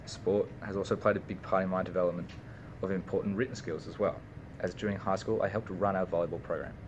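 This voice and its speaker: A man's voice: low voice, fairly even intonation, quick pace, highs cut off, noisy background. A young Australian man, a university student, reading a prepared personal speech with small slips and restarts. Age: young adult.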